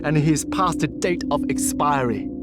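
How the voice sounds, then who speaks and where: A man's voice: a little high, normal speed, over loud music, close to an everyday voice. An actor playing a crude, hot-headed male gangster in a scripted game cutscene. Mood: grim, vengeful. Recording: noisy background ambience.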